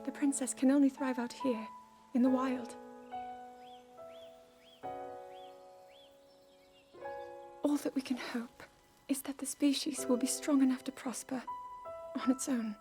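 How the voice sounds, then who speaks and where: Woman as a high-voiced studious young princess, earnest, game scene, faint music. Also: close to an everyday voice. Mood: wistful. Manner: earnest, lecturing, quick to excitement. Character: young adult princess, earnest scholarly type. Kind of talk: video game cutscene acting. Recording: game audio with soft music.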